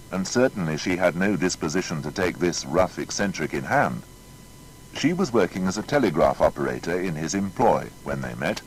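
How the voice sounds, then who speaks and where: A man narrating a scripted biography as a measured storyteller. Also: deep voice, a little hiss or hum, low-quality recording.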